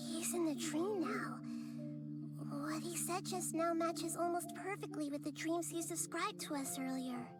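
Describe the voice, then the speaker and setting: A very high, calm little girl goddess, curious and wise, in game audio over loud music. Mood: gentle. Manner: gentle, thoughtful, measured. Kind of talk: game voice acting.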